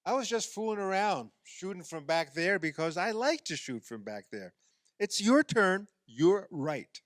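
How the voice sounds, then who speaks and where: A man's voice: high voice, very animated, unhurried, dry recording, clean studio sound. An actor in a radio play playing an exasperated man who coaxes and explains insistently, often stammering. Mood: flustered. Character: exasperated man coaxing a woman through a playground basketball game.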